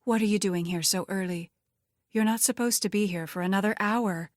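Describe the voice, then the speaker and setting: A woman's voice: medium pitch, moderate pace, no echo, clean studio sound, close to an everyday voice. A woman voicing a scheming, threatening female crook in an audiobook, with a taunting, condescending manner. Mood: suspicious, sarcastic. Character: scheming, threatening, vain woman crime boss's underling.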